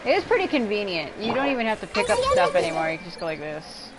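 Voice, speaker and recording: A woman's voice: mid-range voice, sing-song, fast, over a noisy crowd. A woman livestreaming a video game, rambling and thinking aloud in casual commentary. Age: adult. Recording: game music behind the voice. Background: video game music.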